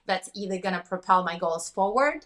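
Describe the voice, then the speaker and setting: A woman from Russia with a Russian accent, speaking fast and emphatically as a business coach in a podcast interview. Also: mid-range voice, lively intonation, a bit bright.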